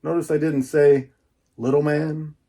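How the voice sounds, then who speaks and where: A man's voice: on the high side, little pitch movement, unhurried, mellow. A man giving a casual, chatty unboxing monologue in a folksy, colloquial way, repeating phrases for emphasis. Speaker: book reviewer and YouTube host.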